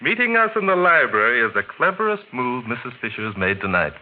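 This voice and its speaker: A man acts a shrewd gentleman sleuth in a 1940s radio play. His mid-pitched voice is expressive and assured, heard through a narrow, hissy vintage recording. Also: a little fast, lightly acted. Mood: suave. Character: confident, shrewd gentleman amateur detective.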